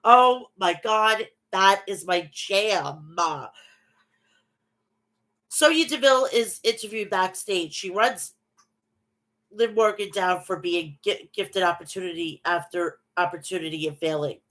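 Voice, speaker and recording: A woman's voice: mid-range voice, a little slow, fairly bright tone. Nasal woman with a head cold. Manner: chatty, reads match recaps then talks to viewers. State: stuffy nose from a cold. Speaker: wrestling recap host. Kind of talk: casual wrestling recap and chat.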